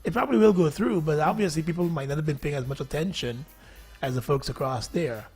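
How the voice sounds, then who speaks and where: A Guyanese man hosting a casual morning radio show, chatty and quick to laugh, with rambling asides. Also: fairly high pitch, darkish tone, breathy edge, soft background music. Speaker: radio host.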